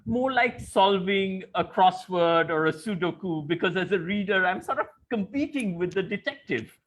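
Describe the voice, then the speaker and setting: A man's voice: high voice, animated, normal speed, a bit bright. A man interviewing in a literary conversation, articulate and thoughtful, building long explanatory questions. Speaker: interviewer and moderator.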